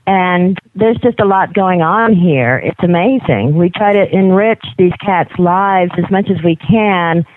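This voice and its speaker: A woman's voice: lowish voice, unhurried, few pauses, dry recording. A woman from Mississippi with a Southern US accent, chatting casually and effusively as an interview guest over a tinny phone line. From Mississippi, United States. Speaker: guest, animal sanctuary team leader. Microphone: telephone.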